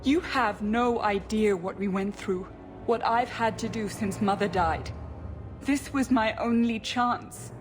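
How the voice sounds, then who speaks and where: A woman acts a desperate young elf in a fantasy game. Her medium-pitched voice is earnest and defensive, with resentment in it. Soft music runs behind her. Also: a little slow, lightly acted.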